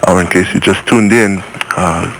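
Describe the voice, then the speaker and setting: A man's voice: low-pitched, fairly even intonation, normal speed, fairly bright tone, a little hiss or hum, low-quality recording. A man hosting a live radio interview in a studio, chatty and laughing often. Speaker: radio host.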